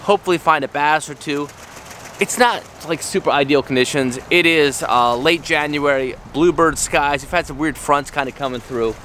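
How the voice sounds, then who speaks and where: A man's voice: fairly high pitch, normal speed. Chatty man vlogging outdoors. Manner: relaxed, chatty, upbeat, with fillers. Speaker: fishing vlogger. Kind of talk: casual vlog talk to camera. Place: outdoors by lakes and creeks. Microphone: handheld action camera at arm's length. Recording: faint outdoor background noise.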